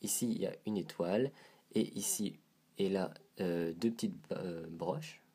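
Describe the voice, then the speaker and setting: A man's voice: low voice, a little slow, warm tone, husky. A man with a head cold and a stuffy nose, reviewing a doll to camera in a casual, hesitant way, describing details as he handles it.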